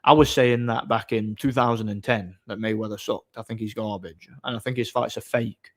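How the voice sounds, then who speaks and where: A man's voice: on the low side, fairly even intonation, normal speed. Blunt, rambling male livestreamer. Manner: blunt, opinionated. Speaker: livestream host. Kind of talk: casual off-the-cuff chat, answering viewer comments.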